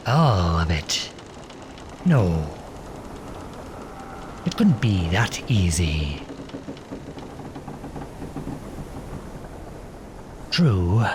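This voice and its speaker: A man playing a gruff but caring pirate captain in an audio roleplay, commanding and full of salty slang. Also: on the low side, noisy background. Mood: incredulous, tempted. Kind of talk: ASMR roleplay audio drama.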